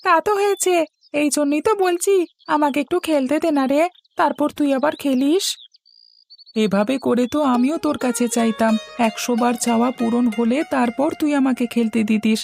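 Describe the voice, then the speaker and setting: A woman's voice: sits high, normal speed, creaky, a little mellow. A woman voicing an innocent, stubborn young village boy in a cartoon, chattering in a childlike, plaintive way. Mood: playful bickering. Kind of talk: cartoon voice acting. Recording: music playing behind the voice.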